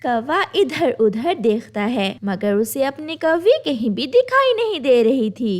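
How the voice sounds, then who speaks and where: A woman's voice: high-pitched, very animated, a little slow. A woman narrating a scripted children's cartoon tale as its storyteller.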